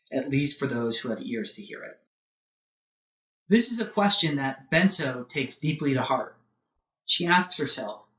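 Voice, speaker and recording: A man delivers an academic lecture in a measured, formal way. His mid-pitched voice sits back from the microphone in a noticeably roomy space. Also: a bit bright. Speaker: professor lecturing. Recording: roomy echo, distant microphone.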